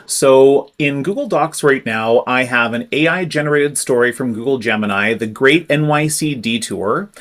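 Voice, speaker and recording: Male tutorial presenter, mid-pitched voice, clear instructive delivery at a desk mic. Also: moderate pace, few pauses, a bit bright. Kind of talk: screen-recorded software tutorial.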